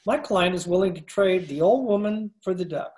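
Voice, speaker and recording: A woman's voice: fairly low pitch, moderate pace, darkish tone, natural voice. A woman playing a polite, evasive mob lawyer in an old-time radio crime drama, formal and a little hesitant. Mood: courteous, businesslike. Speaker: amateur radio play actor. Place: at home, recorded over video call.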